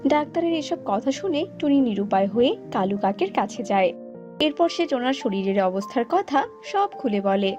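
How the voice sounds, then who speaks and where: A woman's voice: on the high side, lively intonation, normal speed. Female storyteller for cartoon bird tales, scripted narration, faint music underneath.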